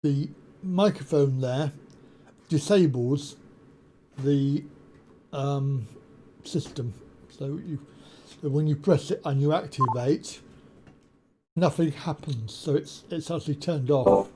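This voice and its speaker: A man hosting a tech tutorial, explaining a software setup step by step with frequent pauses and ums on a desktop USB mic at his home computer desk. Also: a little high, slight background noise. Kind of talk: screen-share software walkthrough. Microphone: desktop USB condenser mic.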